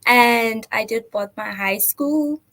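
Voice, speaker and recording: A woman's voice: a little high, slow pace, a bit bright. A young woman from Zimbabwe, a trainee accountant answering interview questions casually with frequent fillers and laughter. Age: 20s. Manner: giggly, lots of "like" fillers.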